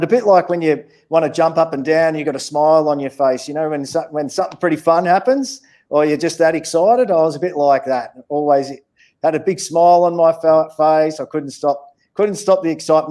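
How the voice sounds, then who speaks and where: A man's voice: a little high, fairly quick, a little mellow. A man with an Australian accent answering questions in a casual, encouraging, plain-spoken way as a children's author. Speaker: children's author, interview guest.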